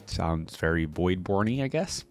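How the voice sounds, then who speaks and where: A man's voice: deep voice, animated, unhurried, faint music behind. A young man hosting a reaction video, giving casual commentary in an informal, slangy way.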